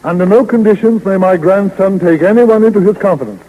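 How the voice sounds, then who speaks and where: Formal 1930s radio lawyer, high-voiced. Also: male voice, phone-quality sound. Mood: solemn, stern. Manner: deliberate, formal, precise. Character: fussy, formal male lawyer reading out a will. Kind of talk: 1930s radio comedy acting. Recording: 1930s radio recording, long reverb.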